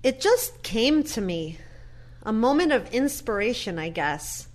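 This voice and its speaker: Woman acting a slow-spoken, gentle daughter. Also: fairly high pitch, sing-song. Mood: moved, grateful. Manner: measured, reflective. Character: gentle, earnest daughter visiting her mother in care. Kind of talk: audio play acting.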